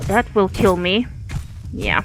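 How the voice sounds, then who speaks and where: A woman's voice: mid-range voice, sing-song, slow pace, slight background noise, poor audio. A woman from Finland with a Finnish accent, thinking aloud with many fillers while she streams a game. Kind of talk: live gameplay commentary.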